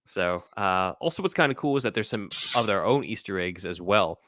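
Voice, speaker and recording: A man's voice: low voice, animated, a little fast, fairly bright tone, dry recording, clean studio sound. A man co-hosting a podcast, chatting casually and giving fan commentary. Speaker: podcast co-host.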